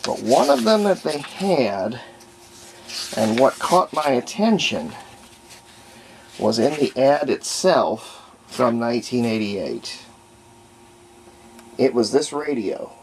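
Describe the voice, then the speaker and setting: American guy doing a hobby show and tell, slow and halting, fry in his voice, bit of background noise. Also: mid-range voice, sing-song, rough recording. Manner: halting, folksy, trails off mid-phrase. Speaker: hobbyist presenter demonstrating a homebuilt radio. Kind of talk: casual unscripted show-and-tell. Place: indoors at a cluttered hobby desk.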